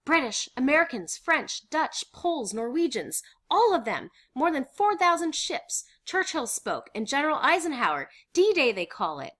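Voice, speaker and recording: A woman reader playing an excited, urgent woman bringing great news in a wartime stage play. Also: high-pitched, moderate pace, hardly any pauses, voice pushed up. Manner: urgent, exclamatory.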